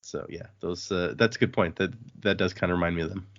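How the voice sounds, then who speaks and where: A man's voice: deep voice, steady tone, quick pace, a bit bright, lo-fi sound. A man hosting a podcast, chatting casually through a discussion of a book. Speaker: podcast host.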